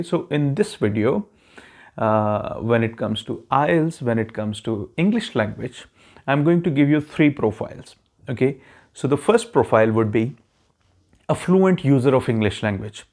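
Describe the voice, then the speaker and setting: A man's voice: mid-range voice, a little mellow. A man, self-described as not very fluent in English with a neutral accent, explaining a test strategy to his viewers in a casual talk with frequent okay check-ins. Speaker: YouTube channel host giving immigration advice.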